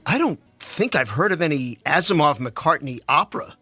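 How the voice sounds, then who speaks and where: A man plays an affable, genial character in a fiction reading, talking conversationally. His voice is husky and bright, a little high in pitch. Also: expressive, normal speed, dry recording, faint music behind, full character voice. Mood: amused, interested. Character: genial married man, politically minded science fiction fan. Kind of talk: dramatic reading of novel dialogue.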